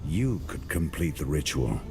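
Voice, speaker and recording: Grave battle-worn warrior in a game, low voice that swings a lot, music underneath. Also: male voice, very animated, a little slow, creaky, heavily acted. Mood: grave, concerned. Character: stern, resolute male warrior, wary and battle-worn. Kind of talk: dramatic video game cutscene acting.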